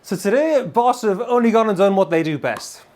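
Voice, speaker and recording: High-pitched, effusive British man. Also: animated, normal speed, loud music behind the voice. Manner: effusive, emphatic, colloquial. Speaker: guitar gear demonstrator. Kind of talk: enthusiastic product demo to camera. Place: indoor room full of guitars and amplifiers.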